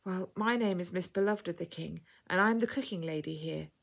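A woman voicing a kindly, devout captive cook in a story, speaking softly and invitingly in a motherly way. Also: fairly low pitch, a little fast, creaky. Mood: friendly. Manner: soft, inviting.

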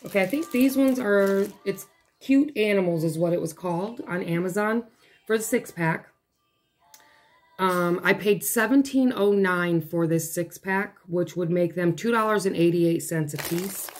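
A woman with a hoarse, failing voice chatting casually at her dining table as she unboxes craft kits, rambling and breaking off mid-thought. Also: on the low side, measured pace, faint music behind. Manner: rambling, chatty, self-interrupting. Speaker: craft vlogger. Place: home dining room, seated at a table.

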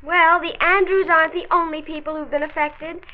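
An actress with an American accent playing a spirited, sensible teenage high school girl in a late 1940s radio drama. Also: steady tone, normal speed. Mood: defensive. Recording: dull, hissy old transcription disc.

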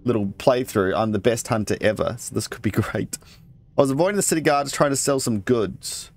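A man's voice: fairly low pitch, vocal fry. A man hosting a let's play, casually thinking aloud and reading game text as he goes. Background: game music.

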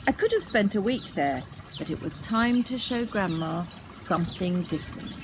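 Animated older woman reading a children's story. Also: mid-range voice, normal speed, noisy background. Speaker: storybook reader. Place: outdoors in a garden.

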